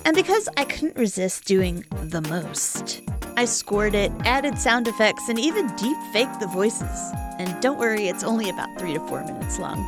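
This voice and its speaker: A woman's voice: mid-range voice, sing-song, moderate pace, over music. A woman hosting a podcast, reading scripted introductions with a playful, theatrical turn of phrase. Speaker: podcast host.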